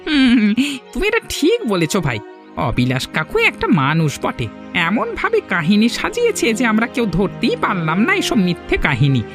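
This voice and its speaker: A woman's voice: mid-range voice, wildly swooping pitch, normal speed. A woman voicing a gossipy, scornful village woman in a cartoon. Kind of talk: Bengali cartoon dialogue. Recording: music behind the voice.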